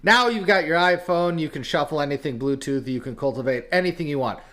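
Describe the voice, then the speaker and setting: A man's voice: fairly high pitch, fairly quick, fairly bright tone. A man co-hosting a podcast in casual chat, with a wry, joking, emphatic way of talking. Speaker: podcast co-host.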